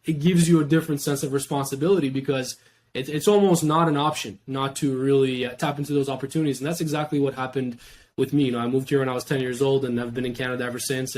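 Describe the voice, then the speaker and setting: A young man from Iran, a podcast guest, talking in a steady, reflective way. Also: mid-range voice, slight background noise. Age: young adult. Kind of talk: casual interview talk.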